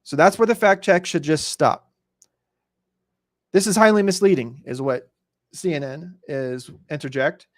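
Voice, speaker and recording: American man with a higher-pitched voice, dry sound. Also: measured pace, pauses often, dry recording. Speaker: podcast host commenting on news. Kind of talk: conversational news commentary, reading and dissecting an article.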